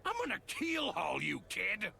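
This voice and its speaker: A gruff, big-hearted sand-sea captain, salty and folksy. His voice is forceful and swings widely in pitch, full of bluster. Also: wildly swooping pitch, a little slow, a little hiss or hum, heavily acted. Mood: blustering anger. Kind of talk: video game voice acting.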